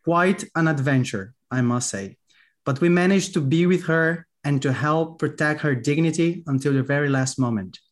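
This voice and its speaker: A man's voice: a little high, moderate pace. A man with a Brazilian accent hosting a podcast, reading a friendly, lightly joking intro and then interviewing a guest. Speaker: podcast host, journalist.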